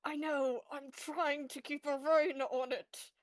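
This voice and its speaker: Woman, high pitch, close dry sound, casual role-play chat, hesitant, game player. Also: steady tone, slow, dry recording.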